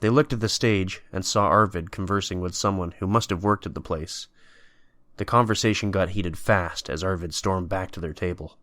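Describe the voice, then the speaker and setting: A man's voice: low voice, steady tone, moderate pace, fairly bright tone. A man reading scripted story narration as the narrator of an audio drama.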